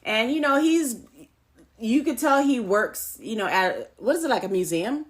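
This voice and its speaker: A woman's voice: a little high, expressive, normal speed. Tipsy, laughing woman podcast co-host. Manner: profane, laughing, acts out characters' lines. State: tipsy from drinking. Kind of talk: casual chat recapping a series.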